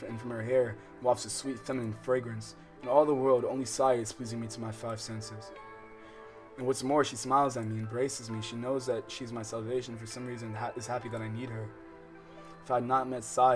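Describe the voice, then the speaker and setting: An American man livestreaming a visual novel, reading the text aloud and breaking off into profane, slangy, casual commentary. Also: medium pitch. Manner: slangy, profane, digressive, interrupting himself while reading. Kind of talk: casual let's-play commentary with read-aloud game text. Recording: music playing under the voice. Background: game soundtrack music.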